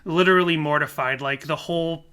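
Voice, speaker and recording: Male podcast co-host, bright, slightly high voice, animated rambler. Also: a little fast, clean tone. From Korea. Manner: animated, laughs often, rambling restarts. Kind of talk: casual chat.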